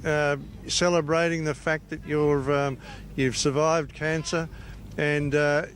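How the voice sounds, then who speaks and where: An Australian man, an event organiser, giving an informal, halting interview on location. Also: fairly high pitch, little pitch movement. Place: outdoors by an event tent. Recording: loud music and event noise behind.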